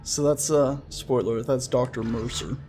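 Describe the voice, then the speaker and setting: A man's voice: medium pitch, fairly even intonation, unhurried. A man hosting a gaming video with casual, chatty, playful and enthusiastic let's-play commentary. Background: game music.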